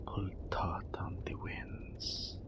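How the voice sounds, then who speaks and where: A man voicing a proud, mystical male naga oracle in an intimate roleplay audio drama, breathy and deliberate, referring to himself in the third person. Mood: entranced, ominous. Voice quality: breathy, whispery, gravelly low voice.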